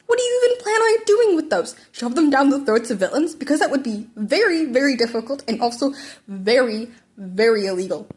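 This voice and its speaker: A woman's voice: sits high, big pitch swings, fairly quick, a little mellow. A woman voicing a stern, exasperated male teacher, with blunt, incredulous questions, in a story reading. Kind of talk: character dialogue in a fan fiction reading.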